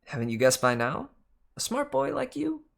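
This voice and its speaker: Mischievous male messenger god, acted by a reader, storybook, breezy, teasing, lifted pitch. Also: huge pitch swings, moderate pace, creaky, heavily acted. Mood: busy, teasing. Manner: chatty, teasing.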